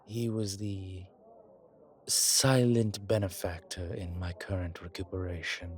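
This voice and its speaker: A man playing a suave, grateful old vampire in a roleplay audio, speaking formally with pauses and quiet amusement. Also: sits low, flat delivery. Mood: warmly amused. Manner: genteel, formal, hesitant pauses, soft chuckles. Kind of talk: intimate one-sided roleplay audio drama.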